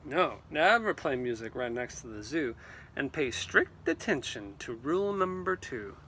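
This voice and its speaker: A man reading a storybook aloud to children in an animated, theatrical way, with exclamations and asides. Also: mid-range voice, a little slow, slight background noise. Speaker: read-aloud teacher.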